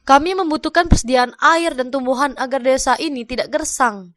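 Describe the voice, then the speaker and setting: Woman as a wary, higher-pitched village parent. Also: brisk, a bit bright. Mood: wary, questioning. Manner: formal, questioning.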